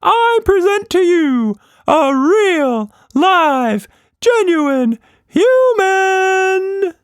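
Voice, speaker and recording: A man's voice: extremely high pitch, very animated, extremely slow, dry recording, studio quality, pitched above the performer's own voice, full character voice. A man voicing a boisterous magical blob monster from a children's story, a theatrical showman who bellows and sings his lines. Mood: grand showmanship. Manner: theatrical, bellowing, sing-song.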